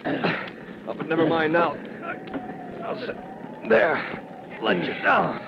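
High-voiced young rookie fireman, straining. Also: slow. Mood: effortful, reassuring. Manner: effortful, breathy exclamations. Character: earnest, determined young male rookie fireman. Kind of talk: old-time radio acting. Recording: muffled, noisy vintage radio recording.

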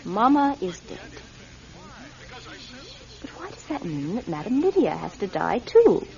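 Scheming, lively-voiced sister, dull recording. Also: female voice, medium pitch, unhurried, creaky. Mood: coolly scheming. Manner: domineering, conniving, insistent. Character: scheming, bossy, cold-blooded sister. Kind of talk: radio drama acting. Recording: dull vintage radio recording, faint music.

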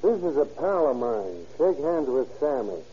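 A man playing a tough, curt, slangy hoodlum with a husky voice in an old radio crime drama. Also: a little high, sing-song, normal speed, warm tone. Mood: eager. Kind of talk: 1930s-40s radio crime drama acting. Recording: muffled old radio recording.

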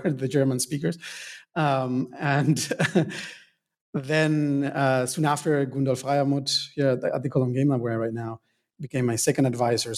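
A man from Argentina answers as an author guest in a casual interview. He speaks hesitantly, with many fillers and small laughs, at a mid pitch.

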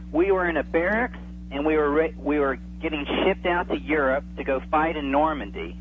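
A man in his forties chatting as an interview guest, enthusiastic and reminiscing, often halting and repeating words, heard over a phone. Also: a little high. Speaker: interview guest, radio drama producer. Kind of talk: casual chat, reminiscing. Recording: tinny phone line with hum and faint noise.

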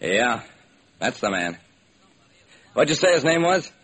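A man's voice: mid-range voice, very animated, measured pace, a bit bright, breathy edge, full character voice. A man playing a tough, persistent, hard-boiled American private detective in an old-time radio crime drama. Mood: matter-of-fact. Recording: noisy old radio recording.

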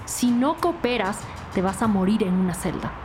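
An actress playing a blunt, confrontational police detective with a sardonic, accusing manner in a crime audio drama. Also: female voice, mid-range voice, lively intonation, normal speed, natural voice. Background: music bed.

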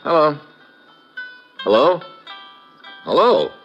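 An actor playing a hard-boiled male detective who narrates his own case, talking in a clipped, wry and steady 1940s radio-drama manner. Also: a little high, slow pace, full character voice. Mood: curious. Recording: dull old radio broadcast with music score.

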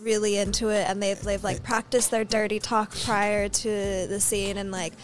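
A woman's voice: mid-range voice, flat delivery, moderate pace, faint music behind. A young woman with an American Southwestern, Valley-girl accent, chatting casually as an interview guest and peppering her talk with "like.". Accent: American Southwestern with a Valley-girl twang. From American Southwest, New Mexico. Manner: Valley-girl talk, filler-heavy with frequent "like". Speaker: interview guest, adult film performer.

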